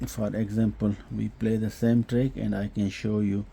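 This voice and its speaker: A man giving an unscripted technical product demonstration, explaining haltingly with frequent hesitations and repeats. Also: on the low side, flat delivery, vocal fry, dark tone, breathy edge. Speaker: host demonstrating audio equipment.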